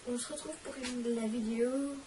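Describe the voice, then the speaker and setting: A woman's voice: on the high side, fairly even intonation, moderate pace, recorded from a distance, background noise. A teenage girl with a cold, chatting in a rambling, self-mocking way while hosting a casual question-and-answer vlog. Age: teens. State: has a cold, coughing. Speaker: channel host.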